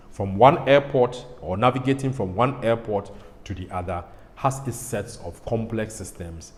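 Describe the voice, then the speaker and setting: Deep-voiced Ghanaian man in an echoey room. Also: darkish tone. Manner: emphatic, repeats phrases. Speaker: pilot host. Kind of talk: explanatory educational presentation. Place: indoor studio. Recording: echoey hall-like studio, faint noise.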